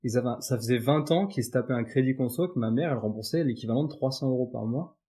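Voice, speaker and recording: Un homme invité d'un podcast, qui raconte son parcours sur un ton de conversation détendue, avec beaucoup d'hésitations et de reprises. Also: male voice, medium pitch, fast, warm tone, breathy edge. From Paris suburbs, France. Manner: chatty, many fillers and restarts. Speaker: podcast guest. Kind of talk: casual interview chat.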